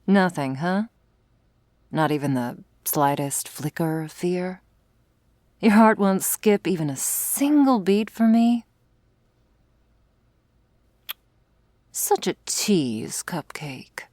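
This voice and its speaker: A woman playing a sardonic, predatory vampire with a secret wistful side, in an intimate close-miked ASMR roleplay, wry and teasing, sometimes slipping into a breathy whisper. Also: on the low side, lively intonation, slow pace, darkish tone, dry recording. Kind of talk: intimate ASMR roleplay, scripted monologue to listener. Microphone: close, dry studio mic.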